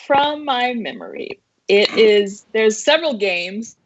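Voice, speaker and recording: Female co-host, informal, thinking out loud, close dry sound with muffled streaming quality. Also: fairly high pitch, animated, takes it slowly, no echo. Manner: informal, hesitant, thinking aloud. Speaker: co-host of an entertainment news live show. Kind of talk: casual chat. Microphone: online live-stream call.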